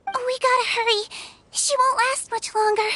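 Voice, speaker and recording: A woman playing a small, sweet, earnest young nurse in a video game, gently matter-of-fact as she explains. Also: very high-pitched, fairly even intonation, normal speed.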